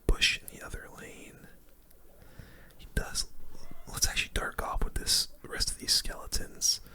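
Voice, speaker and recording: Bright, breathy whispered ASMR gaming commentary. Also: a little slow. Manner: hushed, slangy, informal. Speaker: gaming streamer commentating own matches.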